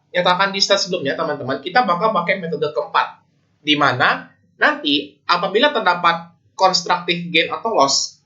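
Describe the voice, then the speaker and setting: Indonesian guy explaining a lesson, chatty, really bright sharp voice, kinda muffled lo-fi audio. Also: high voice. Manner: conversational, often checking in with listeners. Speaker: accounting lecturer. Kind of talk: informal explanatory lecture with slides.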